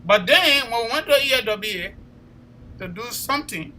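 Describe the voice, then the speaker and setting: High, sharp-voiced Liberian man, emphatic. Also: lively intonation, moderate pace, slight background noise. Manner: emphatic, exhorting, repeats phrases. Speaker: co-host of a political talk show. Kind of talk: impassioned political commentary.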